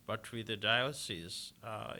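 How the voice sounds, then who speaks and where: Kenyan guy in an interview, lowish voice, kinda bright and forceful, lots of uhs and restarts. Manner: halting, many fillers and restarts. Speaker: deacon, interview guest. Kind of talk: interview answers.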